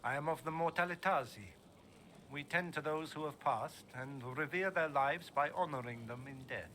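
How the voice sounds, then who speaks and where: An actor playing a solemn necromancer teacher in a video game, speaking in a formal, grandiose and deliberate way. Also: male voice, fairly high pitch, sharp tone, slight background noise. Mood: solemn, proud. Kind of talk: video game character dialogue.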